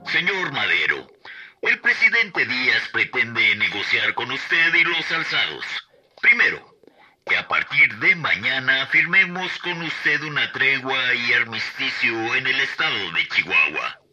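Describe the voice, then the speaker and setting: An actor playing a formal government envoy in a radio drama, declaiming terms of negotiation. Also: male voice, mid-range voice, sing-song, unhurried. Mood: formal, emphatic. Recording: dull band-limited sound, faint noise.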